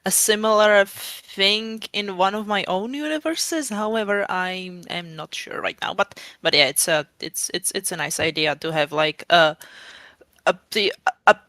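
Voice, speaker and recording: A man chatting casually with friends, halting and repeating words as he works out his point. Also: high-pitched, sharp tone, no echo. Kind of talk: casual group chat.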